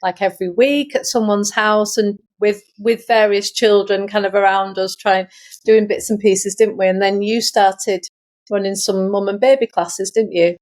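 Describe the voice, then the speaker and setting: A middle-aged woman with an English accent speaks as a guest in a casual interview. Her pitch is average for a woman, and she recounts things in a loose, chatty, informal manner. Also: fairly even intonation, normal speed, a little mellow. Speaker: guest, sewing teacher. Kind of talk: casual conversational storytelling.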